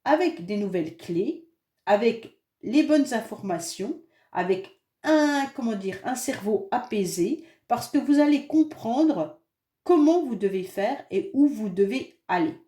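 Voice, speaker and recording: A woman with a French accent and a head cold, talking her way through a tarot card reading in a chatty, reassuring tone. Her voice sits fairly high but sounds soft and mellow. The recording is echoey, as if made in a bare room. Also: animated, unhurried. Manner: chatty, reassuring, many fillers and hesitations. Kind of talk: monthly astrology card reading.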